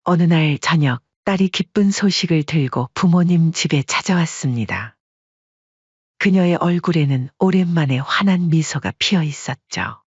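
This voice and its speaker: Measured woman storyteller, husky voice, dry muffled read. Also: sits low, lively intonation, no echo. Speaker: narrator. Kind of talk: scripted storytelling narration.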